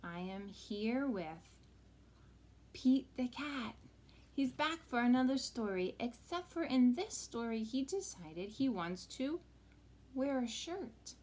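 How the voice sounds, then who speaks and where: A woman's voice: on the high side, lively intonation, takes it slowly, darkish tone. A woman reading a picture book aloud to young children as a teacher, with sing-song, exaggerated expression.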